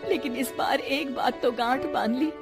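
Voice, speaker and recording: Brief: woman playing a ruined cartoon mother, pleading tone, a bit high, music bed. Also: normal speed. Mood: regretful. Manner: pleading, lamenting. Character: ruined middle-aged mother, tearful and regretful. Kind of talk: cartoon story dubbing. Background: background music.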